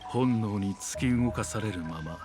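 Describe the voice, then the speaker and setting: A man's voice: fairly low pitch, a little fast, lightly acted. An actor playing a solemn, dramatic male storyteller in a fantasy game, delivering scripted narration. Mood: grave, measured. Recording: game audio with music.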